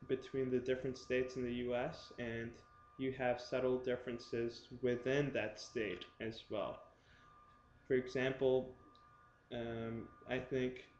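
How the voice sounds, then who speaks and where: A young man talking casually to his viewers in a halting way, with many pauses and fillers. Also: medium pitch, darkish tone, roomy sound, muffled recording, a little hiss or hum. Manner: slow, halting, many ums. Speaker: vlogger. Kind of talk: casual talk to camera.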